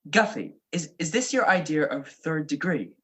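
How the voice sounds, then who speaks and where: A woman's voice: low voice, animated, normal speed, a bit bright. A woman playing a breezy, evasive married male detective in a radio play, wry and slangy. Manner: wry, slangy, deflecting questions.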